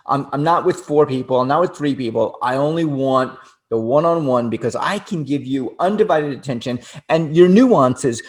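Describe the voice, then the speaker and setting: An older man co-hosting a relaxed conversation, talking in halting bursts with frequent restarts and repeated words. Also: mid-range voice. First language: English. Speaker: co-host. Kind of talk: casual chat.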